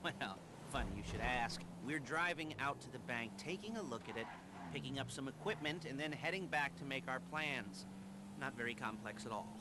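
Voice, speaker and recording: An actor playing an awkward, neurotic middle-aged criminal heist planner in a video game, with an American accent and a halting, nervous, wry delivery. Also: male voice, medium pitch, animated, background noise, natural voice. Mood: brisk, wry. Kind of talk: video game cutscene acting.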